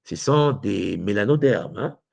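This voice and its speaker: A man of Congolese origin gives a spiritual lecture in a low voice. He often pauses to hesitate and asks his listeners whether they follow. The sound is dry and close. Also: animated, vocal fry, darkish tone, no echo. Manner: frequent hesitations and rhetorical check-ins. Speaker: spiritual teacher.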